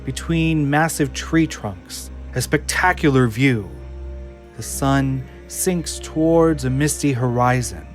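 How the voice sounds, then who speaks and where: A man narrating an audio drama, reading scene descriptions in a measured storytelling style. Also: medium pitch. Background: music.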